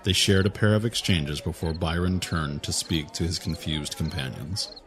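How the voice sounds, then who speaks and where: A man narrating an audio drama in a measured, even storytelling manner. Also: sits low, crowd noise. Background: music score.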